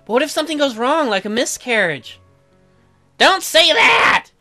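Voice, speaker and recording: A man plays a bratty young wife in a game, whiny and sassy. The pitch is raised and swings about, bright and forceful, with music underneath. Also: expressive, normal speed, heavily acted. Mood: anxious. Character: bratty, indignant pregnant young wife in dating-sim game.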